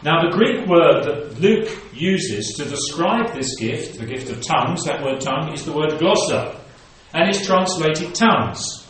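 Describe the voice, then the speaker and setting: A man's voice: a little high, expressive, measured pace, few pauses, a bit bright, a little hiss or hum. A man preaching a sermon, reading scripture aloud and then explaining it to his congregation. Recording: very echoey room, voice from a distance.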